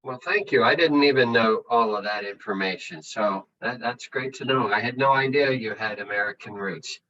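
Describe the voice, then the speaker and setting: A male host making relaxed small talk and introducing a guest on a video call. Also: on the low side, normal speed. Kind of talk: casual video call chat. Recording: distant, dull video call audio.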